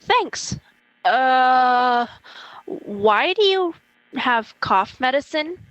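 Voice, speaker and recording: A woman's voice: high voice, very animated, extremely slow, a bit bright, dry recording, lo-fi sound, heavily acted. A young woman playing an inquisitive, hesitant friend in an amateur radio play. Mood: awkward.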